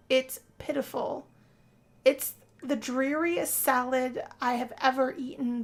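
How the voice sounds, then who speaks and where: A woman narrating a role-play story in first person as a lovestruck, weary young heroine, in a theatrical, sighing manner. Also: high voice. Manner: dramatic, breathy sighing delivery. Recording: music under the voice.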